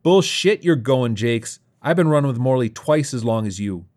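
A man acts out a hot-headed young street tough in an audio story. The voice is pushed higher than the narrator's, slangy and excitable, always pressing someone. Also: moderate pace, lightly acted. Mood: angry. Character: brash, hot-headed young male street gang member.

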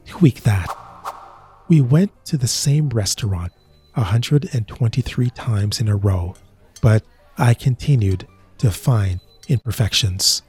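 A man narrating a short drama in a slow, measured way, with a husky voice. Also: fairly low pitch, expressive, a little mellow, soft background music. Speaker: narrator and lead character.

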